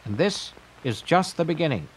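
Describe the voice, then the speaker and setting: Man acting grandiose tycoon, higher-pitched voice. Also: big pitch swings, normal speed, slight background noise, low-quality recording. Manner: grand, declamatory sales pitch. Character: grandiose eccentric male tycoon announcing a pleasure empire. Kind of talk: dramatized monologue in audio storytelling.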